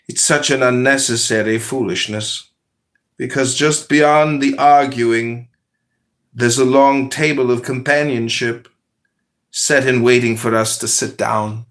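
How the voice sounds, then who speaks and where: A man reading a spiritual poem aloud in a measured, solemn way. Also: fairly high pitch.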